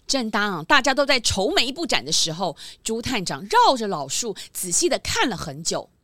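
A woman narrating a children's mystery story in a bright, expressive storytelling manner. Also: fairly high pitch, normal speed, dry recording.

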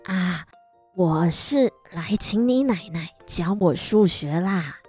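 A woman's voice: fairly low pitch, expressive, slow pace, mellow, husky, faint music behind, exaggerated voice. A woman acting a caring, admiring female character in a children's radio drama. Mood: gushing, friendly. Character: caring mother and gushing elderly woman friend.

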